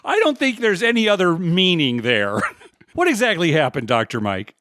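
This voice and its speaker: A man's voice: high-pitched, sing-song, moderate pace, a bit bright, no room sound, studio quality. A man hosting a podcast in a casual, chatty style. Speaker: podcast host. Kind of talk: casual chat.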